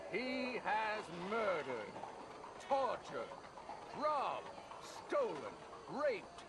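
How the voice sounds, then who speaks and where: An actor playing a pompous, solemn official at a hanging in a western video game, declaiming formal oratory. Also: male voice, high voice, background noise, exaggerated voice. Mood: solemn, grandiose. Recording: music behind the voice.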